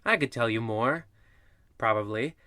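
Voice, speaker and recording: An actor playing a macabre, theatrical and sardonic host in a parody of old radio horror shows. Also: male voice, mid-range voice, a little slow, pushed and bright, no echo, studio quality.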